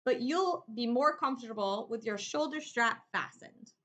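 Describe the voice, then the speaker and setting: A woman's voice: a little high, normal speed, sharp tone. A woman reading a storybook, voicing an eager, affectionate little girl with a sweet, earnest manner. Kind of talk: children's storybook read-aloud.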